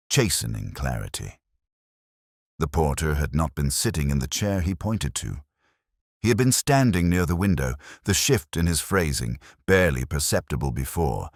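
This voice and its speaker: Man, very low voice, expressive intonation, scripted detective narration, measured literary delivery. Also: no echo, clean studio sound. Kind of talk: scripted first-person detective audio drama narration.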